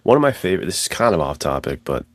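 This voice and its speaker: A man's voice: low-pitched, sing-song, rapid-fire. A man co-hosting a podcast, talking informally and reflectively with plenty of slang. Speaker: podcast co-host. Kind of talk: casual reflective chat.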